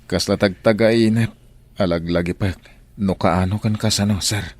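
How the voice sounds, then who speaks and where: A man's voice: lowish voice, steady tone, moderate pace, close to an everyday voice. An actor playing a polite young man who yearns for his family, in an Ilocano radio drama. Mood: wistful.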